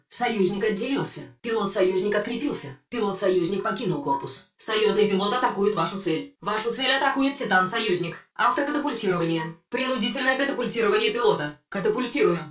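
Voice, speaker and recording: A composed female machine intelligence inside a combat mech, voiced by a woman, speaking in crisp, clipped military announcements. Each line is brief and efficient, like a video game voice bark. Her pitch sits in an ordinary middle range for a woman. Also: close to an everyday voice. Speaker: mech onboard AI voice.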